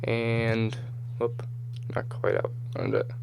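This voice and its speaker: A man with a slightly hoarse, just-woken voice gives casual gameplay commentary, rambling and thinking aloud as he goes. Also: on the low side, huge pitch swings, slow, noisy background. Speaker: gamer, host of a gameplay video series. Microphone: voice-over recorded over game footage.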